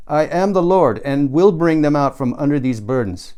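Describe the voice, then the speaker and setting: Guy doing a crazed booming god, grandiose bible-style raving, audiobook character, voice pushed up and loud. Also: lively intonation, moderate pace, lightly acted. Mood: wrathful, booming. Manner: grandiose, biblical declaiming. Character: booming, lunatic man raving as wrathful God.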